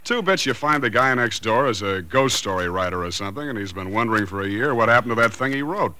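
Skeptical pal, male, very animated intonation, lowish bright voice, breezy, colloquial, 1940s radio drama. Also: fast, dry recording. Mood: amused skepticism. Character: breezy skeptical male friend named Sam.

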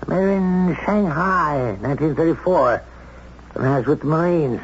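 Actor as brash tycoon, highish voice, old radio. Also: male voice, moderate pace, close to an everyday voice. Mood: breezy, commanding. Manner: brusque, commanding. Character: brash, blustery, self-made business tycoon, older man. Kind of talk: 1970s radio drama acting. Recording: muffled 1970s radio recording, faint noise.

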